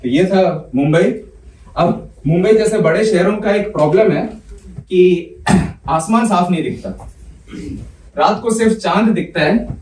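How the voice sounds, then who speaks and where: A young man on a comedy club stage, reciting poems in a measured way and bantering quickly between them. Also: high-pitched, darkish tone, a little hiss or hum. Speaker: poet and comedian. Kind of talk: poetry recital with stand-up banter. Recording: roomy club sound from a distance.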